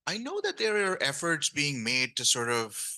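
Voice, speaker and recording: A man talks on a conference panel in a bright, ringing voice. He hesitates often, restarts his sentences and fills the gaps with "like" and "um". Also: on the high side, wildly swooping pitch, normal speed. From grew up speaking Urdu. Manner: hesitant, many restarts and fillers. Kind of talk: panel discussion.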